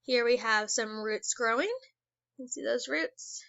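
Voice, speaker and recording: A woman teacher reading a children's book aloud. She reads slowly and stops to ask the class questions. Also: on the high side, vocal fry, fairly bright tone, no room sound, lo-fi sound. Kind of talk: read-aloud lesson for children.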